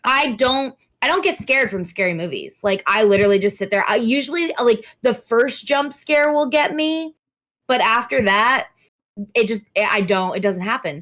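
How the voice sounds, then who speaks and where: A woman whose voice is hoarse from screaming, a streamer chatting openly as an interview guest and laughing at herself. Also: mid-range voice, a bit bright. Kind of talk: casual interview chat.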